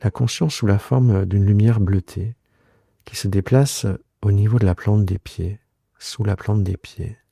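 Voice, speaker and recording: A man guiding a yoga relaxation meditation in a soothing, unhurried way, leaving long pauses between short phrases. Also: on the low side, creaky, warm tone, slightly rough, no room sound, studio quality. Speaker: yoga nidra guide and host. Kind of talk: guided relaxation meditation, improvised.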